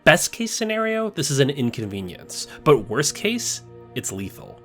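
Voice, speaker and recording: A man narrating a scripted video essay, explaining a story step by step in a measured way. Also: on the high side, sing-song, soft background music.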